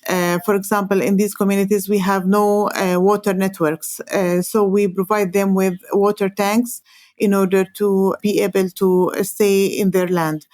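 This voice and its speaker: Palestinian woman w/ arabic accent explaining stuff in an interview, halting, lots of pauses, mid pitch, no echo. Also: little pitch movement, darkish tone, clean studio sound. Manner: halting, hesitant phrasing with frequent pauses. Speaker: interview guest, environmental organization coordinator.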